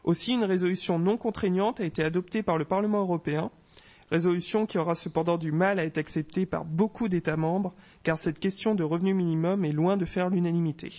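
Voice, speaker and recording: A man with a notably high voice reads a European news roundup in a local radio studio. He keeps a scripted, steady news delivery and drops in light self-mocking asides. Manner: reads briskly, adds self-mocking apologies about pronunciation. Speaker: radio news segment presenter.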